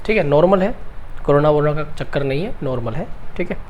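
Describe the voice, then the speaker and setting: A man teaching an exam-prep math lesson, with a slightly raised voice and a sore throat. He walks through each step slowly and answers his own questions. Faint noise hums under the recording. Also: on the high side, expressive, muffled recording. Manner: repeats key steps, asks and answers his own questions. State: sore throat, clears throat and coughs.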